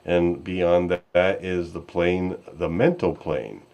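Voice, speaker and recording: A man's voice: sits low, fairly even intonation, slow, darkish tone. A man casually hosting an online discussion show in a rambling, easygoing way, speaking off the cuff. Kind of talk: casual unscripted hosting and chat.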